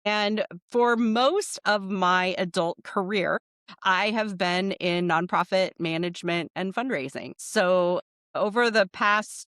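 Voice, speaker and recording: A woman's voice: mid-range voice, takes it slowly, a bit bright, no echo, clean studio sound. A middle-aged woman from Nebraska with a Midwestern American accent, answering conversationally and enthusiastically as a podcast guest. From Nebraska, United States. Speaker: podcast guest, nonprofit fundraising professional.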